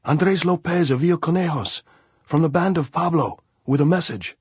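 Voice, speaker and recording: Man voicing a young guerrilla messenger, shouting high and loud, desperate, pleading, dry close sound. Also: moderate pace, husky, dry recording.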